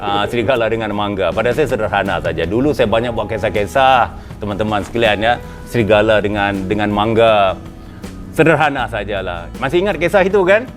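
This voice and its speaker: A man's voice: animated, fairly quick, music in the background. A man with a Malay accent hosting a casual motivational business talk, chatting with frequent fillers and sudden emphatic rises. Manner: conversational, with frequent fillers and pitch jumps for emphasis. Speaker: host. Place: indoor room with a small group present.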